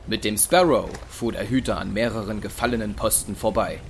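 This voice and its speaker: A man narrating a science fiction radio drama in a measured, solemn, scripted style. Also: on the low side, creaky, background noise. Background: music.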